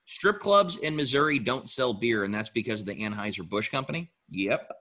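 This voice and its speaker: A man's voice: medium pitch, animated, moderate pace, sharp tone. A man co-hosting a casual podcast, telling rambling anecdotes and making dry asides. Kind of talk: casual chat.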